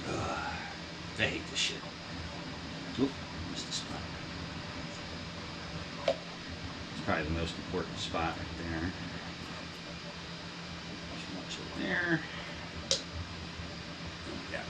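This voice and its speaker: A man's voice: low voice, big pitch swings, takes it slowly, long pauses. A man casually narrating his bookbinding work at home, rambling and swearing with self-deprecating asides. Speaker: hobbyist bookbinder vlogger. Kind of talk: casual workshop how-to narration. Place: home workshop with heater blowing. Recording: wind noise from heater on mic. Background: heater blowing and printer running.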